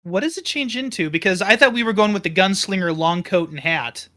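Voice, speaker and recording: A woman roleplaying as a player in a tabletop game, casual and playful, with an animated, dramatic manner. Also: medium pitch, a little fast.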